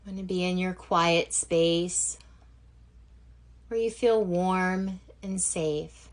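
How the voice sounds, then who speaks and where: Slow, low-voiced woman guiding meditation. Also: steady tone. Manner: gentle, unhurried, with long pauses. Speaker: yoga nidra instructor. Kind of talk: guided meditation instruction.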